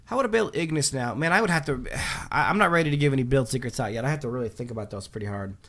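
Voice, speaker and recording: A man's voice: mid-range voice, expressive, rapid-fire, a bit bright. A man hosting a livestream, chatting casually while reading patch notes, with lots of um fillers and restarts. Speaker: livestream host.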